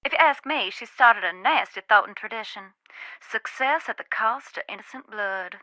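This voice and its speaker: Bright-voiced, folksy Southern ghost hunter. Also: female voice, fairly high pitch, lively intonation, normal speed, no echo, rough recording. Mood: wry, reflective. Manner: folksy, storytelling, emphatic. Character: haunted Southern woman, a warm, wary ghost-hunting spirit medium. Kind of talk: dramatic video game dialogue.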